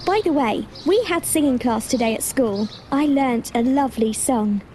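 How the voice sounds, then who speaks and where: A woman's voice: high-pitched, moderate pace, darkish tone, slight background noise, lightly acted. A woman playing a sweet, innocent, earnest little girl in a fairy-tale audio story. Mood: cheerful.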